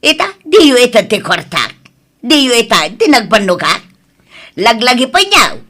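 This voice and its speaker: A woman playing a lively, exclaiming mother-in-law in an Ilocano radio-style comedy drama. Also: on the high side, moderate pace, exaggerated voice. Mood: bossy.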